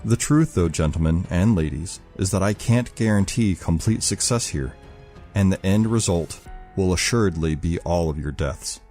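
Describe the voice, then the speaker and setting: A man acts out a hardened ex-military leader in an audio drama. His voice sits very low, with a stern, commanding and formal delivery. Faint music runs behind him. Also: normal speed, darkish tone, lightly acted. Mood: grave candor. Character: grim, commanding ex-military male leader, resolute and irritable.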